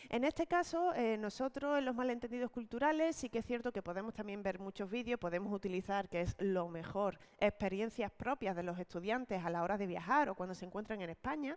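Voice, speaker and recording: Profesora española de voz nítida. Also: female voice, fairly high pitch, clear, resonant tone. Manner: explanatory, frequent tag questions. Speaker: Spanish teacher trainer. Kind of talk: online teacher-training talk. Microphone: video call.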